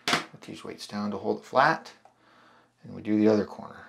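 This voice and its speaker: A man hosting a hands-on darkroom printing tutorial, talking through each step in a relaxed way with fillers and restarts. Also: lowish voice, normal speed, gravelly, slight background noise. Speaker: photography channel host. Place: home darkroom in a laundry room.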